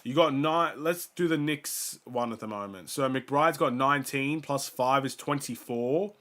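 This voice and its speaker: A man's voice: mid-range voice, measured pace. A young man hosting a fan watchalong, giving casual play-by-play and stats while sick, his voice starting to go. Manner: rattles off stats, gets louder on big plays. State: sick, voice going. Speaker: fan host of a watchalong stream.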